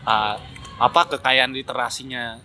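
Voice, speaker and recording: A man's voice: medium pitch, level tone, measured pace, bright, forceful tone. A man in a casual, opinionated discussion, speaking emphatically and critically and repeating phrases to drive points home. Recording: noticeable background noise.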